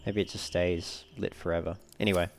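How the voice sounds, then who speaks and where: Low-voiced man giving game commentary. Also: little pitch movement, a little fast, vocal fry. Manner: thinks aloud while reading menus. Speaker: let's player. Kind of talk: casual gameplay walkthrough commentary.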